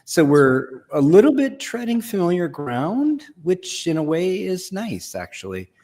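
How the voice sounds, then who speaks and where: A man hosting a live online show about comic conservation, talking in a relaxed, rambling, self-deprecating way. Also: high-pitched, unhurried. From Texas. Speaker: host. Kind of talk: casual live show chat.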